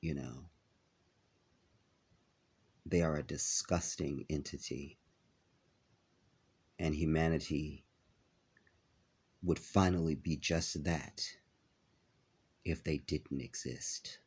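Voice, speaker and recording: A man talking to his viewers in a halting vlog, with a nasal, congested voice as he recovers from an illness. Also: extremely low pitch, steady tone, darkish tone, husky. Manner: halting, many filler pauses. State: stuffy, congested after illness. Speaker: vlogger. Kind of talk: casual vlog talk to viewers.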